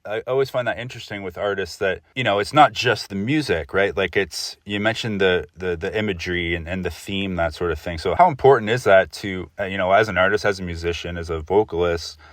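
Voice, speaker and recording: A man's voice: deep voice, fairly quick, few pauses, a bit bright, no room sound, clean studio sound. A man hosting a casual interview, asking loose, meandering questions in a conversational way.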